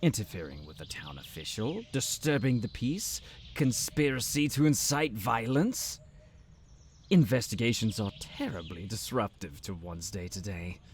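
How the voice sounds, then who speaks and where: An actor playing a pompous, conniving town advisor in a fantasy audio drama, haughty and mock-polite in a drawn-out delivery. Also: male voice, fairly low pitch, lively intonation, a little hiss or hum. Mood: menacing. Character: pompous, conniving male town advisor, smug and easily enraged. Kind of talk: fantasy audio roleplay acting.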